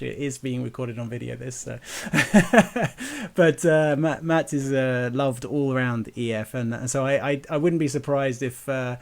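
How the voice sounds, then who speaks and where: Gravelly-voiced upbeat podcast host man. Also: mid-range voice. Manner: upbeat, chatty, laughs often. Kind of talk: casual interview hosting.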